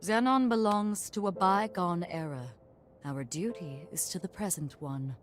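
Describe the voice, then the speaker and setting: A woman playing a solemn, dutiful guide in a video game cutscene, speaking formally and earnestly in measured phrases. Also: fairly low pitch, faint music behind, close to an everyday voice. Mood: earnest warning. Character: solemn, dutiful female guide briefing a hero.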